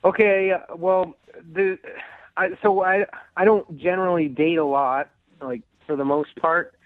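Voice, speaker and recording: Over a dry, narrow phone connection, a male caller talks to a radio show. His voice sits a little high for a man, and he tells things haltingly, nervous and quick to apologise. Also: steady tone, dry recording. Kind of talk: casual, halting storytelling. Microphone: phone call. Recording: narrow, tinny phone line.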